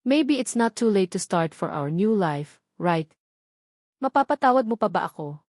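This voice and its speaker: A woman's voice: mid-range voice, lively intonation, normal speed, darkish tone, dry recording, clean studio sound, lightly acted. A woman with a Filipino accent voicing a remorseful young wife in an audiobook romance, earnest and pleading.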